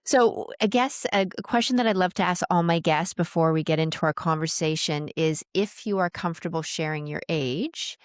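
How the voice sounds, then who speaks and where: A woman's voice: lowish voice, moderate pace, hardly any pauses, dry recording, studio quality. A woman of about sixty hosting an interview podcast, moving between a prepared introduction and conversation with a guest. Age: around 60.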